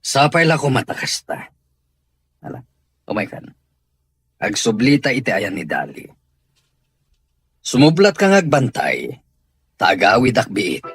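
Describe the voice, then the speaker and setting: A man's voice: mid-range voice, big pitch swings, measured pace, long pauses, fairly bright tone, husky, no room sound, heavily acted. A man with an Ilocano accent playing a stern, worried middle-aged father in an audio drama. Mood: concerned.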